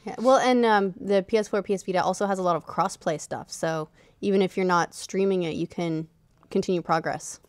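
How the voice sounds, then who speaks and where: A woman's voice: fairly low pitch, a little fast, no room sound. A woman, co-hosting a gaming podcast in casual conversation. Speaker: podcast co-host. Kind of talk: casual panel chat about gaming. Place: indoor podcast studio. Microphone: close studio microphone on desk.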